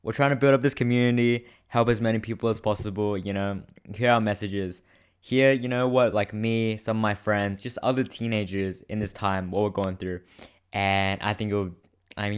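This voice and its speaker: Young host, late teens, low smooth voice, upbeat listener plug. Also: male voice, steady tone, a little fast. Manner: upbeat, pleading, repetitive. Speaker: podcast host. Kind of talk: direct-to-listener promo.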